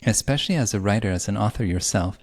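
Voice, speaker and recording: A man's voice: lowish voice, quick pace. A man from Poland, a podcast host musing thoughtfully in conversational interview style. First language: Polish. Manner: thoughtful, laughs lightly, reflective.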